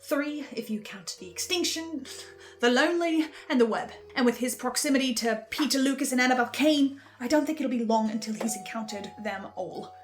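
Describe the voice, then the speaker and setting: High-pitched woman giving a pensive recap. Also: expressive, a little fast. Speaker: host of a fan recap series. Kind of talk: dramatic investigative recap monologue. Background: music.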